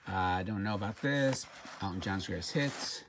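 A middle-aged man talks off the cuff in a low, gravelly voice, dropping dry, muttered asides as he sorts through items. It is a very noisy home recording, with clicks from things being handled. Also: moderate pace. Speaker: reseller showing thrift finds. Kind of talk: casual off-the-cuff unboxing commentary. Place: indoor room at home, at a table.

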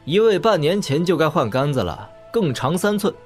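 An actor playing a courteous, quietly confident young nobleman in an audio drama, polite, understated and wry. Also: fairly high pitch, expressive, moderate pace, faint music behind, lightly acted. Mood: casual, knowing.

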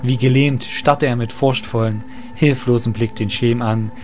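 A man narrates a fantasy audio drama in a steady, midrange voice with a measured storytelling pace. Faint music plays underneath. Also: mid-range voice.

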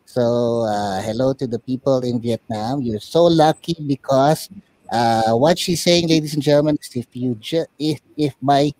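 A man with a Filipino accent hosting a talk show interview in a playful, expressive, emphatic way. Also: medium pitch, moderate pace, no room sound, rough recording. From Philippines. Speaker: puppet show host.

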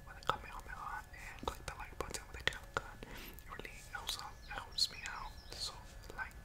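A young man whispering casual ASMR into a close condenser mic in a bedroom, rambling informally and correcting himself as he goes. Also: moderate pace. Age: young adult. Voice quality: unvoiced breathy whisper. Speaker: ASMR video creator. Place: bedroom at home. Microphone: large USB condenser mic, close up.

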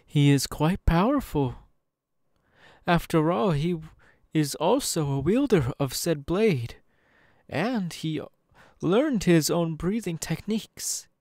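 A smug genteel demon, slow, lilting, falsely sympathetic, theatrical, ASMR roleplay, close dry sound. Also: male voice, a little high, expressive, a little mellow, dry recording. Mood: smooth, curious. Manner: slow, theatrical, drawn-out pauses.

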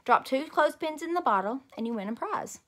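Storybook carnival attendant read by a woman: impatient lady, curt, bright tone. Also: high voice, animated, fairly quick, voice pushed up. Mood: instructing. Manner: curt, instructing. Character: brisk, impatient lady running a carnival game.

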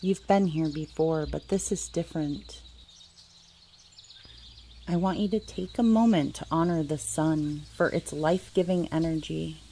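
A woman leading a guided meditation as host, speaking soothingly in short, deliberate phrases with long pauses. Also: sits low, mellow, slight background noise. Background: music.

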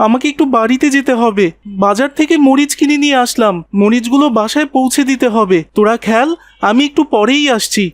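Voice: mid-range voice, fairly quick, no room sound, lightly acted. A performer voicing a polite, earnest young village boy in a cartoon. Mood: friendly coaxing. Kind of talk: cartoon dialogue.

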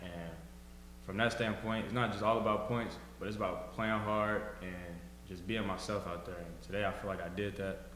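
A young man, a college basketball player, giving relaxed, plain short answers at a postgame press table, trailing off softly, into a table mic. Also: low voice, moderate pace, a little hiss or hum. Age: young adult. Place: press room, seated at a table. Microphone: table microphone on a stand. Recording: echoey room with lingering reverb.

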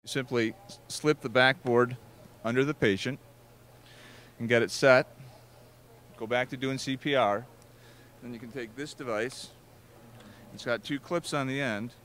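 A man's voice: mid-range voice, fairly even intonation, measured pace, long pauses, fairly bright tone. A man working as a product representative, giving a live step-by-step demonstration at a trade show booth. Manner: explanatory, step-by-step instruction.

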